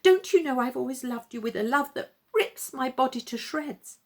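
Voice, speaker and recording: Casting: woman as lovesick little clown puppet, male, high and impassioned storybook voice, slightly husky, pleading. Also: lively intonation, normal speed. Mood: desperate passion.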